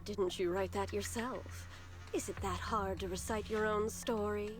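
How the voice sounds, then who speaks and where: A woman's voice: medium pitch, fairly even intonation, unhurried, close to an everyday voice. An actress playing a composed, sly female schemer in a video game, with a teasing, unruffled manner. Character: composed, sly female schemer, coolly needling her ally. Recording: dramatic music under the voice.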